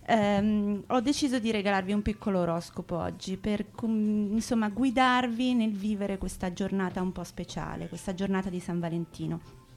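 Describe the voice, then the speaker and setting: A woman's voice: mid-range voice, steady tone, moderate pace, a little mellow, natural voice. Woman playing a hesitant, mock-serious radio astrologer. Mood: mock-serious, instructive. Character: theatre's resident astrologer, solemnly giving cosmic love advice.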